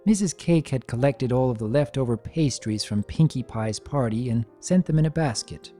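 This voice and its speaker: Mellow, measured story narrator over music. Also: medium pitch, animated. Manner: soft, measured, deliberate. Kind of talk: scripted story narration, dramatic reading.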